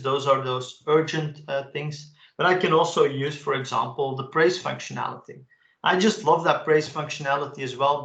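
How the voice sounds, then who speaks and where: Man, gravelly voice, casual software walkthrough, roomy echo. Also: medium pitch, muffled recording. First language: Dutch. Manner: casual, enthusiastic, frequent "uh" fillers. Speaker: webinar presenter. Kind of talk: live software demo walkthrough.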